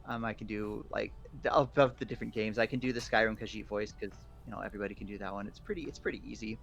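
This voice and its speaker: A man chatting casually as a podcast guest, friendly and quick to laugh. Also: fairly low pitch, fairly even intonation, gravelly, a bit bright, dull audio. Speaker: guest voice actor.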